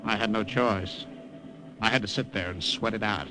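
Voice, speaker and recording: A man's voice: fairly low pitch, moderate pace, lightly acted. A man voicing a nervous American spy posing as an Italian salesman, stammering in scenes and narrating tensely, in 1940s radio style. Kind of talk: 1940s radio acting with first-person narration. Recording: old radio broadcast with music beds.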